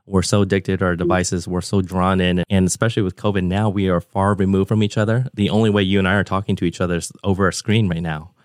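A man's voice: low voice, little pitch movement, fast talker, hardly any pauses, dry recording, clean studio sound. A man of about forty hosting a podcast interview over a remote call, talking in a conversational, enthusiastic and discursive way. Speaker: podcast host.

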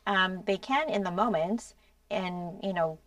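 A woman co-hosting a podcast, explaining earnestly in a casual way, with many pauses and trailing phrases. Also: mid-range voice, a bit bright, no room sound, distant mic. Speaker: podcast co-host.

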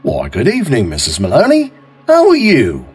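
A man voicing a chatty, friendly male grocer with a husky voice. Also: mid-range voice, wildly swooping pitch, moderate pace, faint music behind, pitched above the performer's own voice, heavily acted.

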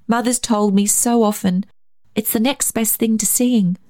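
A woman's voice: fairly high pitch, fairly even intonation, measured pace, warm tone, pitched above the performer's own voice, natural voice. A woman voicing an earnest, pleading little blind boy in a narrated story, with a childlike manner. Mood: shy confessing. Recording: roomy echo, faint music.

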